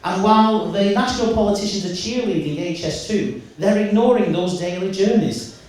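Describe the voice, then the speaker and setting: A man from giving a loud, emphatic campaign speech as a mayoral candidate, often restarting his sentences. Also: high voice. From Wigan, England. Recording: echoey hall, mic from far off.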